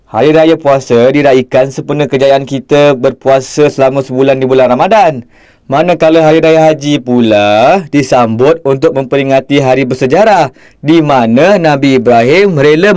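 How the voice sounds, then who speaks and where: A man's voice: medium pitch, darkish tone, no room sound, studio quality, lightly acted. An actor playing an opinionated middle-aged father in a cartoon, grumbling and lecturing with a Singaporean Malay accent. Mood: explaining. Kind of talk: cartoon voice acting.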